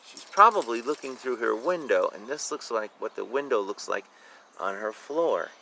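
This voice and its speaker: A man's voice: mid-range voice, animated, normal speed, vocal fry, bright, forceful tone, slight background noise. A man reading a picture book aloud expressively, with informal asides about the illustrations. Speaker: reader of a children's picture book.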